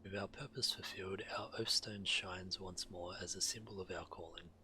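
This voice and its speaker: A man's voice: deep voice, fairly even intonation, moderate pace, pushed and bright, a little hiss or hum. A man who streams games, reading fantasy quest dialogue aloud. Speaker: game streamer. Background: game music.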